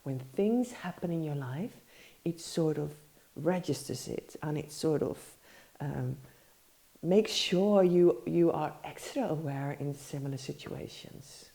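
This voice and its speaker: A woman's voice: deep voice, expressive, takes it slowly, creaky, dark tone. A middle-aged woman with a stuffy nose from a cold, rambling and correcting herself often as she teaches on her podcast. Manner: rambling, many fillers and self-corrections. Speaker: podcast host, meditation teacher. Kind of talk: casual spoken teaching.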